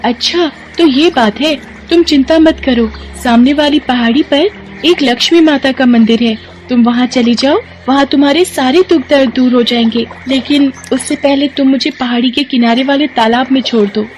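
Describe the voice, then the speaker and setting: A woman voicing an earnest magical golden fish, pleading and kindly, in an animated moral story. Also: high-pitched, normal speed, a little hiss or hum, pitched above the performer's own voice, close to an everyday voice, poor audio. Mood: grateful, reassuring.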